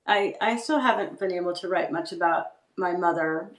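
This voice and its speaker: A woman guest speaking reflectively about her writing and family history, often pausing and restarting. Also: on the low side, roomy sound, a little hiss or hum. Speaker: guest writer. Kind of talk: casual interview chat.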